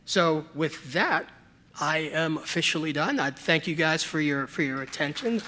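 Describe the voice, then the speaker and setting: A man giving a conference presentation on treatment in a measured, clinical way, with a few fillers. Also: a little high, over a noisy crowd, heavy background noise. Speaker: speaker on treatment.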